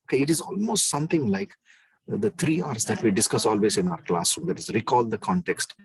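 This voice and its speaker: A man with a deep bass voice, lecturing an online class in an emphatic way and repeating key phrases. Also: animated, brisk, a little mellow, slightly rough, dry recording, poor audio.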